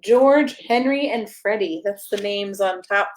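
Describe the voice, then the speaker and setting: A woman's voice: medium pitch, a little slow, low-quality recording. Woman reading a children's bedtime story aloud. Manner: playful, addressing young listeners directly with questions. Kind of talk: expressive read-aloud of a rhyming children's picture book.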